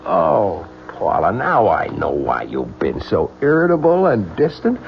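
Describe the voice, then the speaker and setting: An actor playing an excitable, earnest husband in a radio drama, with dramatic emphasis. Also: male voice, fairly low pitch, unhurried, vocal fry, full character voice. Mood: astonished. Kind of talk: 1970s radio drama acting. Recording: muffled, noisy old radio broadcast.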